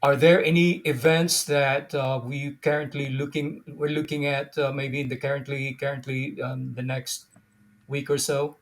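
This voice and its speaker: A man hosting an interview, speaking slowly and haltingly with many pauses as he introduces books and asks questions. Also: mid-range voice, flat delivery. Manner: slow, halting, many fillers and restarts. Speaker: interview host.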